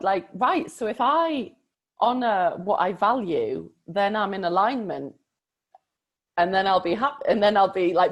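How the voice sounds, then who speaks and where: Low-voiced chatty woman. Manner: expressive, chatty, verbal processor. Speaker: life coach. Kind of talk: casual chat.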